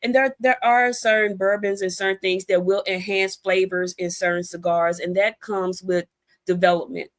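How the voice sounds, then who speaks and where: A woman's voice: medium pitch, flat delivery, moderate pace. An American woman talking casually as an interview guest, explaining things in a chatty, conversational way. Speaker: interview guest, author and cigar expert.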